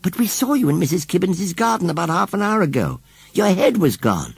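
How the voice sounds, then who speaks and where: Young English storybook girl acted by a man: prim and anxious, high for the performer, lively swoops, slightly husky. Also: brisk, no room sound, heavily acted. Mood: astonished. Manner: prim, earnest, insistent. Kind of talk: children's storybook character voice.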